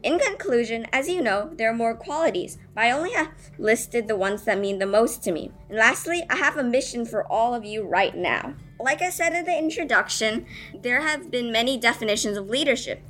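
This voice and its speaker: A woman's voice: on the high side, animated, brisk, fairly bright tone, faint music behind. A female student earnestly reading a prepared speech aloud, addressing listeners with rhetorical questions. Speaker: student reading own essay.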